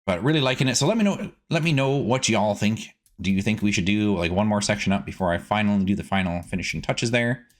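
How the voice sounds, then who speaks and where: A man giving casual gameplay commentary as a streaming host, chatty and animated, rambling as he goes. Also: fairly low pitch, fast talker, few pauses.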